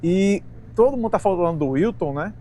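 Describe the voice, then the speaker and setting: A Brazilian man on a sports talk panel, chatting casually with slangy words and halting restarts. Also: sits high, expressive, mellow, slight background noise.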